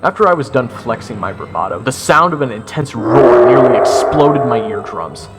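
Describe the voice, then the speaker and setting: A man narrating a first-person fantasy story in a theatrical way, with dramatic pauses. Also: medium pitch, lively intonation. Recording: loud music under the narration.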